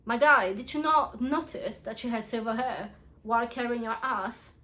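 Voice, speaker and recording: Young woman, french accent, fairly high voice, frank and chatty, often laughs, casual book vlog. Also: moderate pace, sharp tone. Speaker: book reviewer.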